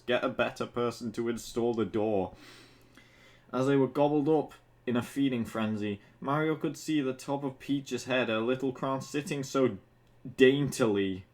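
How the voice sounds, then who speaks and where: Slow-paced man reading fan fiction. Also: mid-range voice, a little mellow. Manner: stumbles over words and breaks off to mock the text. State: drunk. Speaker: fan fiction reader and commentator. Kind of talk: casual comedic read-aloud with sweary asides.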